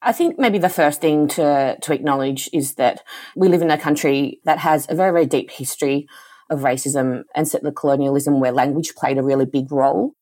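A woman, an academic philosopher, giving thoughtful and articulate interview answers at an unhurried pace. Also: low-pitched, dark tone, no echo.

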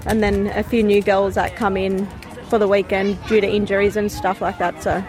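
A woman's voice: mid-range voice, flat delivery, moderate pace, a little mellow, a faint crowd. A woman footy player talking in an easygoing, chatty way in a vox pop interview.

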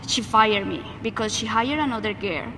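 A Colombian woman with a Spanish-speaker's accent gives interview testimony. Her voice is fairly high, and the recording has long reverb and some background noise. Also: moderate pace, sharp tone. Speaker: interviewee, migrant worker. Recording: noisy, long echoing reverb.

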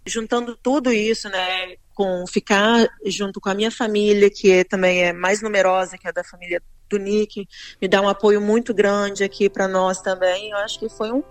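A woman's voice: mid-range voice, no room sound. Dry-recorded Brazilian woman explaining things. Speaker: interviewee. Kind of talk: explanatory interview answers.

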